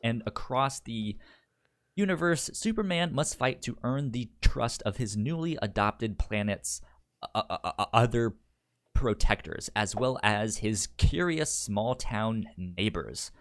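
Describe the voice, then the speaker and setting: A man's voice: medium pitch, expressive, measured pace. A man with a pronounced stutter, chatting casually as a podcast co-host and reading synopses aloud. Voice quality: pronounced stutter with repeated sounds and syllables.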